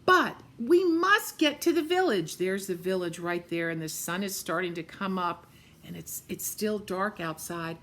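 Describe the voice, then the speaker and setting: A woman voicing a crowd of dolls and toys in a storybook, high and begging. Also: lively intonation, unhurried, a bit bright. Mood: urgent.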